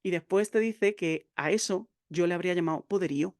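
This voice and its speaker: A woman teaching copywriting in a video lesson, speaking straight to the viewer with a lively, chatty manner and frequent fillers. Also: on the low side, brisk, no echo, clean studio sound. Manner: lively, addresses viewer directly, many fillers.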